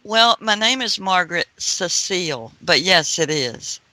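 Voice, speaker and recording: A woman's voice: fairly low pitch, animated, unhurried, bright, forceful tone. A woman co-hosting a call-in radio show, probing the caller with questions. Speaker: radio co-host.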